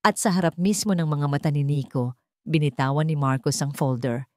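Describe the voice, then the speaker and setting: A woman's voice: sits low, normal speed, mellow, no room sound, studio quality. A woman with a Filipino accent reading a scripted story in a dramatic storytelling style.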